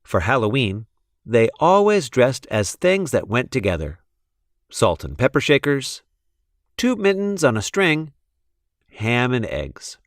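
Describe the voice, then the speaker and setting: A man reading a children's storybook expressively, with deliberate, measured phrasing. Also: mid-range voice, wildly swooping pitch, no echo, studio quality. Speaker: storybook reader.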